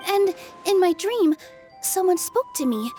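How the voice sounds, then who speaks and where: A sweet, earnest young woman speaks in a very high, gentle voice. She sounds soft and a little shy, and faint music sits behind her. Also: sing-song, unhurried, exaggerated voice. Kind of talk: video game dub acting.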